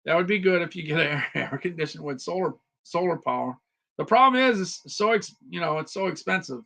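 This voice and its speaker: A man's voice: fairly high pitch, lively intonation, brisk, creaky, bright, forceful tone. A man hosting a casual live stream about deals, repeating phrases and talking to viewers by name, his voice slightly worn. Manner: repetitive, chatty, talks to viewers by name. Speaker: livestream host reviewing deals. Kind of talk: casual live product chat.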